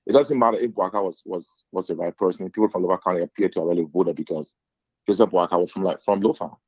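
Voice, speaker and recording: Low-voiced Liberian man on a dull line. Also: darkish tone, no room sound. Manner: measured, thoughtful, with hesitant restarts. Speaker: guest. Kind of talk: reflective call-in commentary.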